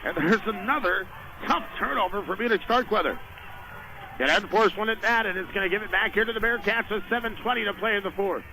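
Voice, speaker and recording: A man doing live radio play-by-play of a basketball game in clipped phrases, rising to excited shouts on big plays. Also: high-pitched, brisk. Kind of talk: live radio sports commentary. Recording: noisy radio broadcast from a gym.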